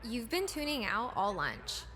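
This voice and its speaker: A woman voicing a cool, candid teenage high school girl in a video game, with a dry, matter-of-fact manner. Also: wildly swooping pitch, a little slow, pushed and bright, full character voice. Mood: dry, curious. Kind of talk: visual novel game voice acting.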